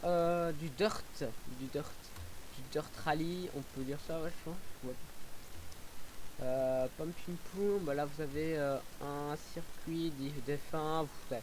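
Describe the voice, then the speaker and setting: Rambling young man with a slightly high voice. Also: a little hiss or hum, rough recording. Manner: rambling, hesitant, many fillers and restarts; excitable whoops while driving. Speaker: gaming YouTuber. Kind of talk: casual gameplay commentary.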